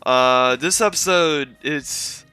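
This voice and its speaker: A man's voice: fairly high pitch, slow, bright, forceful tone, clear, resonant tone, faint music behind. A man hosting a casual commentary recap, talking in a rambling, hesitant and self-deprecating way. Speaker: video host and reviewer.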